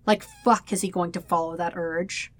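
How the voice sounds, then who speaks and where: A woman's voice: on the low side, steady tone, normal speed, fairly bright tone, no room sound. Woman reading dramatic fiction, dry sound. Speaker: fan fiction narrator. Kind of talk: dramatic scripted story narration.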